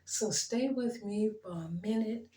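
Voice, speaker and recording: A middle-aged woman talking earnestly to her viewers, her voice worn and fading, pausing often. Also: medium pitch, echoey room. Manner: earnest, gentle, pauses with ums and soft laughs. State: losing her voice. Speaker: channel host. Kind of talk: heartfelt motivational talk to camera.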